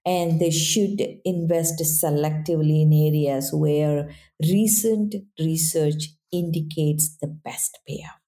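Woman guest, accented English, mellow warm tone, earnest, says "right?" a lot. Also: low voice, fairly even intonation, slow. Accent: non-native English accent. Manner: earnest, repeats "right?" to check in. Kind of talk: casual interview talk.

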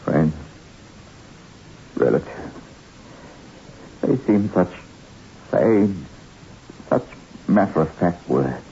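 An actor playing a distraught male castaway in shock, halting and dramatic, in an old radio horror drama. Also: low voice, animated, creaky, warm tone, full character voice. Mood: shaken, halting. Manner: halting, dramatic, swinging from brooding to pleading. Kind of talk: 1960s radio horror acting. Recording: muffled, noisy old radio recording.

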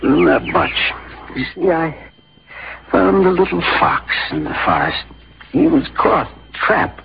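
A man acting in a 1950s radio drama as a gentle, weary frontier wanderer, speaking haltingly in broken phrases. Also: a little high, huge pitch swings, creaky, a little hiss or hum, exaggerated voice. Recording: muffled 1950s radio recording, loud music.

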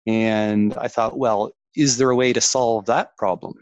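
A man's voice: mid-range voice, fairly even intonation, measured pace, dry recording. A Canadian man, a tech executive, talking reflectively about his career as a guest on a podcast interview, with frequent restarts.

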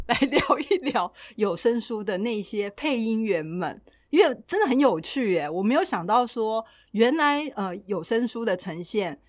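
A woman's voice: mid-range voice, dry recording. Female co-host, Taiwanese accent, mid-range voice, casual reflective delivery, dry studio-close sound. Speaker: podcast co-host. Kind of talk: casual podcast chat.

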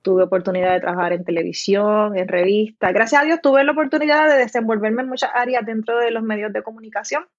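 A woman's voice: fairly high pitch, fairly even intonation, quick pace, hardly any pauses, no room sound, dull audio. A woman from southern Puerto Rico with a Puerto Rican accent, a writer talking as a podcast guest in a friendly, talkative way. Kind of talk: interview conversation.

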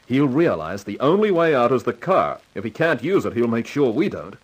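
An actor playing a cool, wisecracking hardboiled man in 1950s radio drama style, with a wry, unhurried delivery. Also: medium pitch, big pitch swings, husky. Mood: commanding. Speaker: lead actor and narrator in a crime radio drama. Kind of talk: 1950s radio acting, hardboiled first-person narration. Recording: noisy vintage 1950s radio recording.

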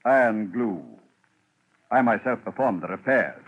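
Pompous, husky old-radio janitor. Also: male voice, fairly low pitch, unhurried. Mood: pompous, unruffled. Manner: grandiose, florid, formal wording. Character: pompous, genteel down-at-heel janitor with stock-market airs. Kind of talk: 1950s radio detective drama acting. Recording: muffled, phone-like old radio broadcast.

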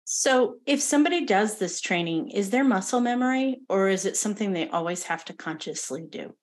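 A woman's voice: medium pitch, expressive, a little fast. A woman hosting a casual interview, curious and full of questions. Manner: curious, asks many questions. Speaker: podcast host.

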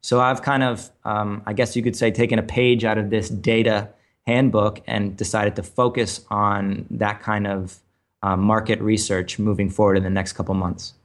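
Male co-host, lowish voice, analytical explainer, frequent ums. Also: flat delivery, normal speed. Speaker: podcast co-host. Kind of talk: casual chat.

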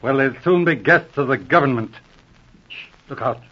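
A man's voice: mid-range voice, moderate pace, breathy edge, close to an everyday voice. A man playing a confident, wry Mountie sergeant in 1940s radio drama style, clipped and dry. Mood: sure, wry. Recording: muffled old radio recording.

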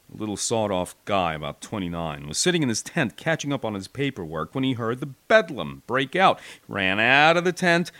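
An American man narrating a war memoir as an audiobook, with an expressive, wry, folksy storytelling delivery. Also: medium pitch, no room sound. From United States.

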